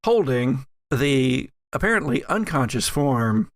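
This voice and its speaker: Male game master for horror tabletop play, unhurried and deliberate, recorded dry. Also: medium pitch, big pitch swings, no room sound. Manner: halting, deliberate scene-setting.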